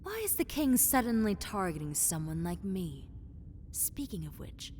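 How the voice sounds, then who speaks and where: A woman voicing a possessive, teasing lamia, a half-snake predator, in a roleplay audio drama, with condescending, seductive taunts that slip into breathy whispers. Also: medium pitch, very animated, unhurried, darkish tone. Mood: condescending, amused. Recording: long cave-like reverb, faint noise.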